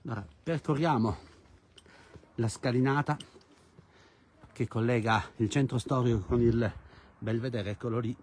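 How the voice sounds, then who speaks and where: A man's voice: medium pitch, fairly even intonation, moderate pace, pauses often, a little mellow. A man hosting a live travel stream outdoors, speaking directly to viewers like a presenter. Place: outdoors in a hilltop village. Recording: noisy outdoor recording with clicks.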